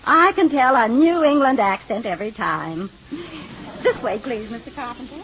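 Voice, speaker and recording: An actress playing an excitable, chatty boarding-house landlady in an old radio drama, easily flustered. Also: a little high, moderate pace. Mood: chatty, welcoming. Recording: muffled, noisy old radio recording.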